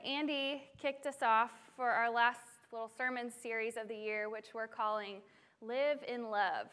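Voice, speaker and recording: Young woman, preacher, fairly high voice, lively self-deprecating storytelling, church stage mic. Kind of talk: sermon. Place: church sanctuary.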